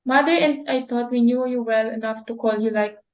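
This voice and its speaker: A young woman reading the part of a sensible young daughter who calmly offers her mother solutions. The voice is high and earnest. The recording is dull and lo-fi. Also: a little fast, close to an everyday voice. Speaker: student actor. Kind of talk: amateur dramatic reading.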